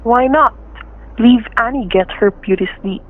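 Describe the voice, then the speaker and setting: Tinny old radio sound, fugitive gangster, menacing, bossy, jumpy, curt tough slang, crime drama. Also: male voice, very high voice, unhurried. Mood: sly, cocky. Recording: narrow, tinny vintage radio recording.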